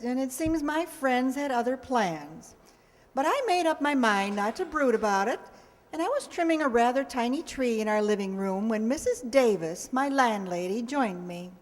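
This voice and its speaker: A woman playing a wry, patient, gently sarcastic schoolteacher in an old-time radio comedy. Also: sits high, expressive, normal speed. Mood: wry, wistful. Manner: dry, wry delivery. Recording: very reverberant hall sound.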